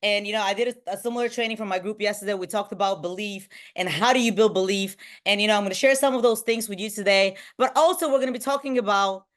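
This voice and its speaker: An excitable woman in her thirties, from Bulgaria, coaches a sales group online. She has a mid-pitched voice and speaks in a punchy, motivational way, frequently tossing questions to her listeners. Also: fast, hardly any pauses. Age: 30s. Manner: excitable, punchy, often checks in with rhetorical questions. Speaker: trainer for a direct sales team. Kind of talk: motivational online training talk to a live group.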